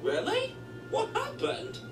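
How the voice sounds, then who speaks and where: Bubbly cartoon diesel sidekick, acted out, eager and wildly swooping, unhurried, echoey under loud music. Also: very high-pitched, wildly swooping pitch, distant mic, full character voice. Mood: curious, helpful. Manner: gushing, giggly, eager. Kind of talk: cartoon fan dub.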